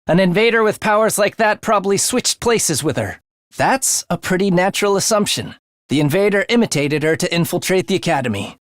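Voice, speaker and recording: A man's voice: high-pitched, lively intonation, fairly quick, dry recording, studio quality. A man voicing a morbid, bloodthirsty young male student scientist in an English video game, speaking theatrically with a sinister relish for the macabre. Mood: cool, analytical.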